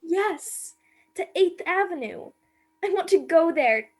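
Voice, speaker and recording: A woman acting as a romantic, naive young woman in a radio drama. Her voice is very high and she speaks earnestly, full of wistful longing, as if confiding a secret. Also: lively intonation, slow pace, a little mellow, a little hiss or hum, heavily acted. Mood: dreamy, longing.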